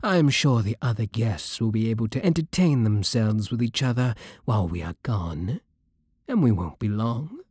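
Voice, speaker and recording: A British man playing a courtly, possessive vampire king in an intimate ASMR roleplay, silky, formal and commanding with quiet menace. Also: fairly low pitch, expressive, normal speed, no echo, studio quality. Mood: courtly, smooth. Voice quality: breathy, husky.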